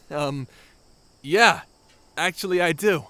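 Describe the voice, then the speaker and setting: A man's voice: on the high side, takes it slowly, pushed and bright. A man playing an awkward, sympathetic young lord in a sci-fi audio drama, speaking in a hesitant, wry, stammering way. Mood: wry, wistful. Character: awkward, sympathetic young male lord revived from century-long stasis. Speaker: voice actor in an audio roleplay. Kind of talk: conversational audio drama acting.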